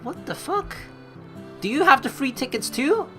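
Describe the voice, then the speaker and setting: A woman hosting a let's play, reading game narration aloud and adding casual, expressive, joking commentary. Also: sits high, moderate pace. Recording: soft game music behind. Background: game music.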